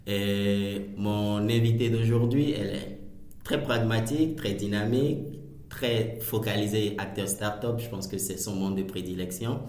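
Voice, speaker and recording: A man hosting a podcast, relaxed and hesitant, with frequent filler sounds as he introduces his guest. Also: on the low side, normal speed. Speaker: podcast host. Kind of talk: casual interview hosting. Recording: echoey room.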